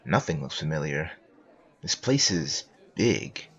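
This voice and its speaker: A man playing a playful second-person narrator, reading scripted comic narration in a husky voice. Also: very deep voice, very animated, slow, muffled recording, heavily acted. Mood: scene setting.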